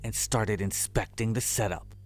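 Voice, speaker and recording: Low-voiced man narrating horror. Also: moderate pace. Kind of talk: scripted horror story narration.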